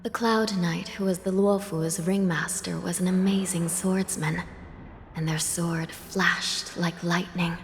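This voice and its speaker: A woman voicing a soft-spoken, wistful resident of the netherworld in a video game, with a measured, breathy, reflective delivery. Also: fairly low pitch, fairly even intonation. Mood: reminiscing. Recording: reverberant hall, game music behind.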